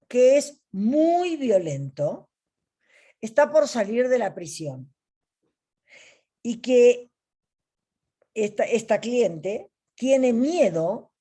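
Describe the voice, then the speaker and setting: A woman from Argentina with an Argentine accent, leading an online coaching ethics class in a deliberate teaching manner. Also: fairly high pitch, warm tone, slightly rough. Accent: Argentine Spanish. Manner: slow, deliberate teaching with pauses.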